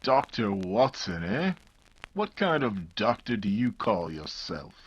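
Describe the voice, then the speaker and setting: Slow, menacing old villain. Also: male voice, fairly low pitch, sing-song, husky, dull audio, exaggerated voice. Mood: sneering menace. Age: 70s character. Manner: slow, ominous, grandiose. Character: vengeful gaunt old criminal doctor, menacing, theatrical. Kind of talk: 1940s radio mystery acting.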